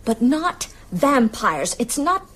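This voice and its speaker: A woman's voice: high voice, very animated, slow pace, fairly bright tone, slight background noise, full character voice. An actress in a radio drama playing a sceptical, shaken young woman who speaks hesitantly to the man she loves. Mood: shaken, disbelieving. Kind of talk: naturalistic radio drama dialogue.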